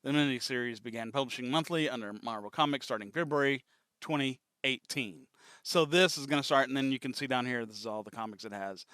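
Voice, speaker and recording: A man's voice: medium pitch, fairly quick, a bit bright, clear, resonant tone, dry recording. A man hosting a reaction show, chatting casually in an easygoing way.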